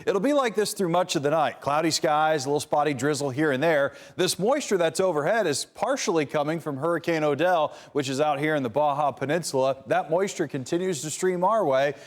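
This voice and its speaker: An American man presents the weather as a television meteorologist. His voice is somewhat high for a man as he talks through the forecast. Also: fairly quick, hardly any pauses.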